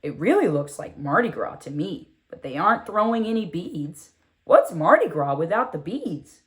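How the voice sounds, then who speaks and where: A woman reading a children's storybook in the first person as a curious, proud six-year-old girl, expressive and full of wonder. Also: on the low side, normal speed. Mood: puzzled. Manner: expressive, wondering, asks rhetorical questions.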